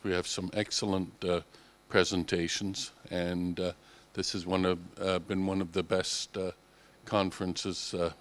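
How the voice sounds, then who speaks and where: A man giving hesitant off-the-cuff remarks as a conference attendee, with many filler sounds. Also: sits low. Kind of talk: impromptu remarks.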